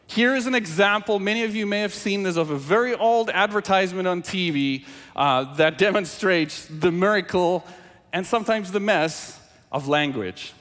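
South African pastor preaching in a hall. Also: male voice, high-pitched, moderate pace. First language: Afrikaans. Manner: animated, engaging the audience with questions. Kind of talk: sermon with scripture reading.

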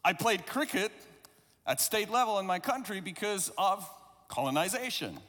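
A man's voice: sits high, lively intonation, normal speed, bright, forceful tone, big-room sound. A man from South Africa, English not his first language, preaching a sermon in a reflective, conversational way with a few self-deprecating asides. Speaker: pastor.

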